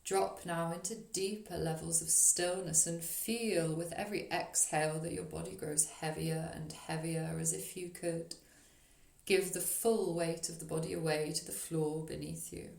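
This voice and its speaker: A woman leading a guided meditation as a yoga nidra teacher, speaking in a soothing, gentle, unhurried way with long pauses. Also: low-pitched, fairly even intonation, creaky. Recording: roomy echo on the voice.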